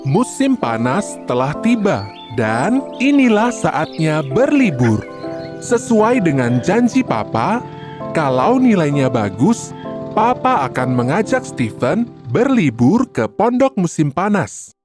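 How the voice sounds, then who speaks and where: A storyteller narrating a scripted children's tale in an expressive, deliberate manner. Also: mid-range voice, music in the background.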